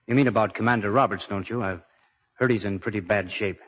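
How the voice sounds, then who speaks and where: Bright-voiced, respectful lieutenant. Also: male voice, fairly low pitch, dull audio. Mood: earnest. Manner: brisk, deferential. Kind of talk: 1940s radio drama acting. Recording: old radio recording with slight noise.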